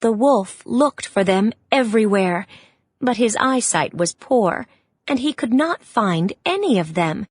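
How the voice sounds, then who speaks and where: A woman narrating a children's read-along story in a measured, expressive way. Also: fairly high pitch, husky, no room sound.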